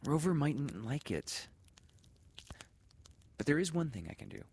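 A man voicing a kindly, earnest woman schoolteacher, speaking in a soft, coaxing way. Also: fairly high pitch, very animated, unhurried, slightly rough, pitched above the performer's own voice, heavily acted. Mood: gentle.

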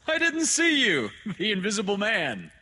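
A man voicing an overbearing elderly male doctor in a storybook reading, lecturing loudly and emphatically. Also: lowish voice, expressive, measured pace, pitched above the performer's own voice, heavily acted. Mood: jovial.

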